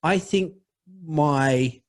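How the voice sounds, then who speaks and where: A man's voice: a little high, extremely slow. A man as a podcast guest, telling a candid personal story in a profane, rambling way with many restarts.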